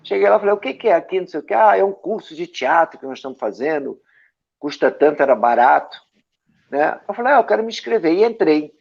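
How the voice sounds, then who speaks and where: A man from Brazil, an actor and director, telling stories from his career as an interview guest, with a lively anecdotal manner and frequent tag questions. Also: fairly high pitch, quick pace, vocal fry. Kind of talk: storytelling interview answers. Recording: dull lo-fi audio.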